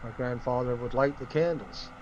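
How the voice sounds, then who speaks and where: A man, a native English speaker, talks over the heavy, constant roar of a simulated plane engine. His pitch sits in a middle male range. He rambles casually and thinks aloud as he gives flight simulator commentary. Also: moderate pace, dull audio. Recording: loud steady engine drone. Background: flight simulator aircraft engine noise.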